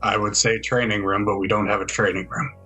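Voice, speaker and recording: A man acting as game master, narrating and bantering in a deadpan way during an improvised tabletop roleplaying session. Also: lowish voice, fairly quick, breathy edge, soft background music.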